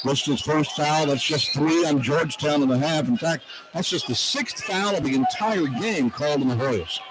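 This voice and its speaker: A man's voice: a little high, moderate pace. A man calling live basketball play-by-play on the radio, describing the action in short, clipped phrases. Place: indoor basketball arena. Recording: radio broadcast over loud arena noise. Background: loud arena ambience.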